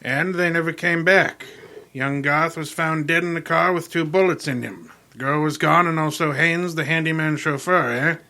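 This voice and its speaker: An actor playing a brusque, skeptical private detective who questions with authority in an old-time radio mystery. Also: male voice, on the high side, sharp tone. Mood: probing, skeptical. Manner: brisk, commanding, skeptical.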